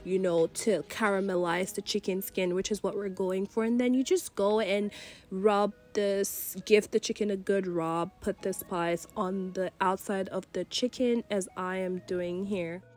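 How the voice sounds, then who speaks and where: A woman's voice: mid-range voice, a little slow, soft background music. A woman from Nigeria, congested from a cold, talking casually through a cooking voice-over with lots of fillers. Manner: chatty, relaxed, many fillers like "you know". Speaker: cooking channel host. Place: voice-over recorded separately from the kitchen footage.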